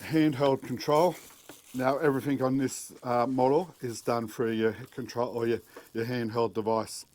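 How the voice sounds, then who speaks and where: A man's voice: mid-range voice, level tone, moderate pace, darkish tone. A middle-aged man with an Australian accent, giving a casual, matter-of-fact DIY walkthrough as a vlog host. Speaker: host of a DIY four-wheel-drive channel. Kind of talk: casual unboxing and installation walkthrough. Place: outdoors in a backyard, later inside a car cabin.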